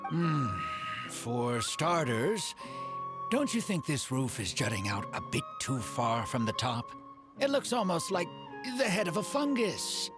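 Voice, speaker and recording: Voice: medium pitch, very animated, a little slow, music in the background. A fussy, hesitant client. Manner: hesitant, polite. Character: fussy, hard-to-please client. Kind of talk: game voice acting.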